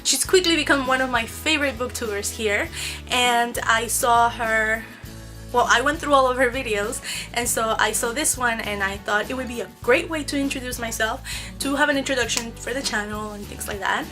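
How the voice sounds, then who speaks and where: Bright, high-voiced young woman vlogger. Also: normal speed, soft background music. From Mexico. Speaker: book vlogger. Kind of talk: casual to-camera question-and-answer chat.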